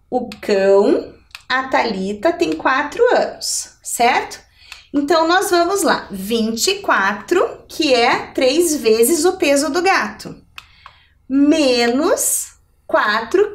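A middle-aged woman explains things step by step as a teacher. Her voice is high and swings widely in melody, and she keeps checking in with questions. The sound is roomy, as if she stands a little way from the microphone. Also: animated, takes it slowly, far from the mic. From Brazil. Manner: didactic, checking in with rhetorical questions. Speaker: adult education maths teacher. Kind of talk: televised lesson, explaining step by step. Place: indoor TV lesson studio. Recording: roomy echo, somewhat off the mic.

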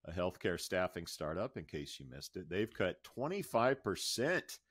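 A man's voice: low-pitched, very animated, moderate pace, a bit bright, slightly rough, dry recording. A middle-aged American man co-hosting a podcast, switching between upbeat banter and news reading into a close microphone. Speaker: podcast co-host. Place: indoor room at home, video call.

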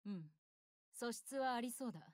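A woman's voice: fairly high pitch, lively intonation, normal speed, a little mellow, no room sound, studio quality, natural voice. An actress playing a proud, battle-hungry young warship girl in an anime game, speaking in a blunt, self-assured, tomboyish way. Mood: confident, cool. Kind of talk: Japanese anime game voice lines.

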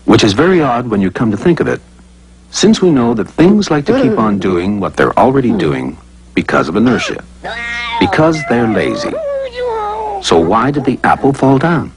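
A man's voice: medium pitch, big pitch swings, normal speed, background noise. A man narrating a scripted educational cartoon in a playful, conversational way, full of rhetorical questions.

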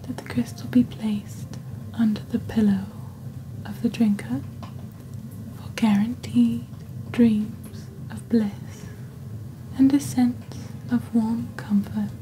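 Slow, hushed ASMR woman. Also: a little high, fairly even intonation, poor audio. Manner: hushed, breathy, gentle and deliberate. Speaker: host of a witch-themed shopping channel roleplay. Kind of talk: soft-spoken ASMR, scripted fantasy product presentation.

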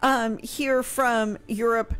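American woman chatting on radio, talks really slowly, bit higher voice, faint music. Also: steady tone, a bit bright, clean tone. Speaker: radio show co-host. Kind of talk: casual radio discussion. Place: room in a home, indoors. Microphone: studio mic on boom arm with headset.

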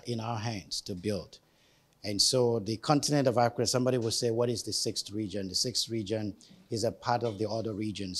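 Husky middle-aged man explaining to the press. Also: fairly low pitch. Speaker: spokesman at a press conference. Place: bright indoor room. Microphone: handheld reporter's microphone.

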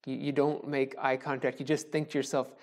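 A man's voice: medium pitch, flat delivery, darkish tone. A man in his forties, a pastor, giving a recorded sermon in a conversational, joking way from a small church sanctuary, speaking into a lapel mic. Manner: joking, conversational, with pauses and self-deprecating asides. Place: small church sanctuary with a wooden cross behind. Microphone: lapel mic, camera framed from the chest up.

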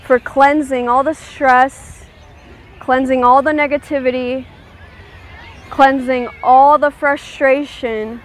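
Slow, high-voiced woman guiding meditation. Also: steady tone, slight background noise, poor audio. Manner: slow, gentle, soothing instructions with check-in questions. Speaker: meditation guide. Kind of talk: guided meditation.

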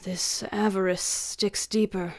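A woman acts a fiercely loyal warrior lord in radio tragedy. The delivery is proud, impassioned declamation in a medium-pitched voice. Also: little pitch movement, slow pace, a little mellow. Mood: indignant. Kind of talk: Shakespearean radio drama.

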